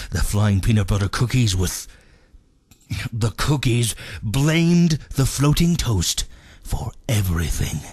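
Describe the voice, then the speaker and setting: Casting: male actor as a melodramatic old-time soothsayer telling storybook legends; swooping intonation, slow, grandiose delivery. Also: mid-range voice, breathy edge, dry recording, studio quality. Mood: dramatic. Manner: grandiose, ominous, drawn-out dramatic phrasing. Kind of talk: theatrical comic storybook narration.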